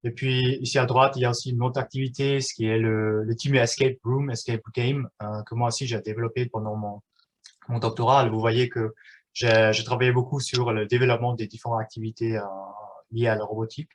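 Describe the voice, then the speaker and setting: A man in his thirties whose first language is German, giving a live online workshop in a halting way, with many fillers and restarts. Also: medium pitch, level tone, slight background noise. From German-speaking Switzerland.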